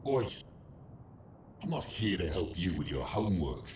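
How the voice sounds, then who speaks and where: An actor playing a wisecracking tough action hero in a video game, dry and sardonic. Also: male voice, low voice, big pitch swings, a little slow, a little mellow, roomy sound, a little hiss or hum. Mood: cocky, sardonic. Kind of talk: video game cutscene acting.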